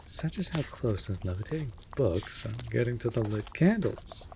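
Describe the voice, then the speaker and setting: A man's voice: medium pitch, expressive, normal speed. A man playing a wry, put-upon winged animal familiar in a fantasy audio roleplay, with teasing, dramatic, formal diction. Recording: clear background noise.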